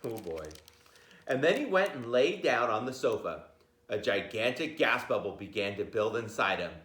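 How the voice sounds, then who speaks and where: A man reading a children's picture book aloud in an informal, jokey, expressive way, with chatty asides. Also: medium pitch, normal speed, roomy sound.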